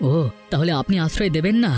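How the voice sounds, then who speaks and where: Cartoon folk-tale role: male actor as proud, penniless village family man, fairly high voice, earnest declamation, music underneath. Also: lively intonation, a little fast, a little mellow, close to an everyday voice. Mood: offended. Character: proud, poor homeless village husband and father. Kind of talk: Bengali cartoon folk-tale dialogue acting.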